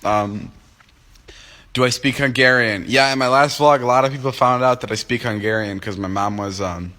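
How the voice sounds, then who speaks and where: A man's voice: medium pitch, normal speed, sharp tone. A young man in his twenties, just woken up and groggy, chatting casually on a phone livestream from home, rambling and joking as he answers comments. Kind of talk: casual livestream chat to viewers. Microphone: phone livestream app.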